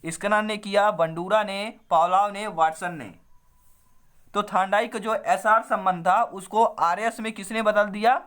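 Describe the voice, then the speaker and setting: A man with a throat hoarse from a cold, teaching an online exam lesson by reading quiz questions and options, then repeating the answers to viewers in a familiar way. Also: high voice, steady tone, measured pace, a bit bright.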